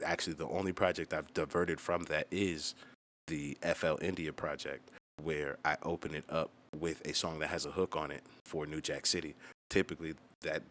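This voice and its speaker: A man's voice: a bass voice, a bit bright, a little hiss or hum. An American man talking casually about his own music as a rapper and video host, rambling and swearing freely. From United States.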